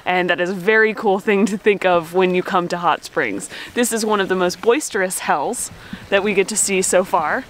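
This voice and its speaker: A woman's voice: medium pitch, moderate pace. A woman in her thirties telling stories as a travel vlogger, filmed outdoors on a handheld camera at arm's length. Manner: chatty, playful storyteller. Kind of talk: casual travel vlog narration. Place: outdoors at steaming volcanic hot springs. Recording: outdoor wind and steam noise. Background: hissing steam vents.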